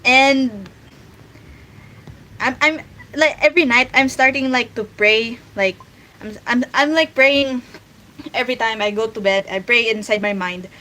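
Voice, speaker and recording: Filipino woman streamer, bright and a little high, casual rambling chat, faint hiss. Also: unhurried, long pauses, rough recording. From Philippines. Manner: chatty, rambling with many restarts. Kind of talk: casual livestream chat while drawing.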